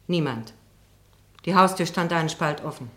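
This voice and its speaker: An actress playing a defensive, prim neighbour on the witness stand in a radio drama, guarded, indignant and reluctant. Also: female voice, sits low, lively intonation, moderate pace, bright, forceful tone. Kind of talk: German radio courtroom drama acting.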